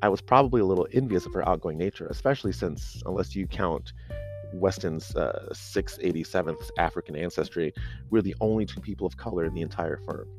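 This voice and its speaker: A man's voice: low voice, a little fast, a little mellow, faint music behind. A man narrating a serialized audio story in the first person, in a conversational, dry and wry manner.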